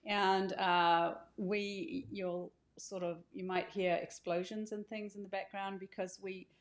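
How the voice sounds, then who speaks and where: A woman giving an informal welcome talk as an author and co-host, speaking conversationally and haltingly as she corrects herself. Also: mid-range voice, little pitch movement.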